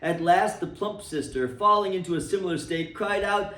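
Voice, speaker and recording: A man giving an expressive, dramatic storybook reading of a classic tale for a library, recorded in a roomy space from a distance. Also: high voice, normal speed. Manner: expressive, swooping phrasing. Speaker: reader for a library.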